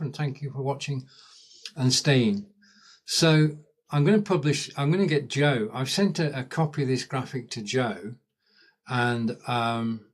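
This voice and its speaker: Chatty Lancashire man hosting a live stream. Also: mid-range voice. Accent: Northern English, Lancashire. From Lancashire, England. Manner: chatty, thanks viewers by name, rambling asides. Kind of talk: casual live-stream chat. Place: home in rural England.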